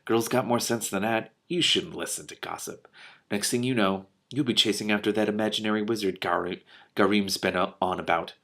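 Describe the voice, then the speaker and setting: A man's voice: lowish voice, fairly quick, gravelly, fairly bright tone. A man voicing an indignant, blustering elderly male dragon from a storybook, given to old-fashioned exclamations.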